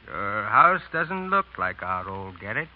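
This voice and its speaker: An actor playing a frank, principled middle-aged French painter, speaking with earnest reproach in the manner of 1930s radio drama. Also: male voice, lowish voice, very animated. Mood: needling. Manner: earnest, reproachful, deliberate phrasing. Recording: tinny, narrow 1930s radio broadcast.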